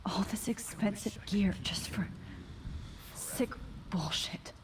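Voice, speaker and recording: An actress voicing a shaken, guilty teenage girl in a video game, hesitant and soft-spoken. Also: mid-range voice, takes it slowly, whispered, lightly acted.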